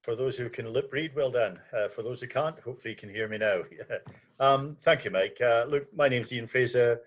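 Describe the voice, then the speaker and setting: A man's voice: medium pitch, moderate pace. An older man with a Southland New Zealand accent introducing himself on a panel with wry good humour. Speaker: panelist, company director.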